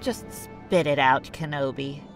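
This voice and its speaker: A woman playing a sardonic, defiant former dark-side warrior in an audio drama, curt and insolent. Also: deep voice, unhurried. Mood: guarded, curt. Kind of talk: fan audio drama acting. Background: music.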